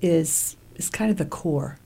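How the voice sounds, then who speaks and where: A woman's voice: sits low, a little slow, vocal fry, mellow, slightly rough. A woman of sixty-one speaking conversationally as an interview guest.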